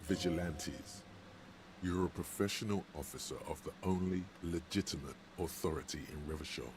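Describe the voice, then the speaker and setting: A man voicing a deep, gravelly narrator in a detective game, measured and slow. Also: noisy background.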